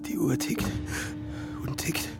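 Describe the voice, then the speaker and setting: A man narrating a literary audiobook dramatically, in measured, halting phrases that can drop into hushed asides. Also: music in the background.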